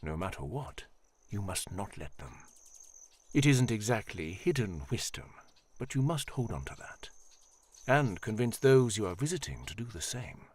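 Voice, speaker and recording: A man playing an elderly high priest in a fantasy audio drama, kindly and solemn, speaking in a formal, measured, reassuring way. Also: sing-song, a little mellow, slightly rough. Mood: grave warning.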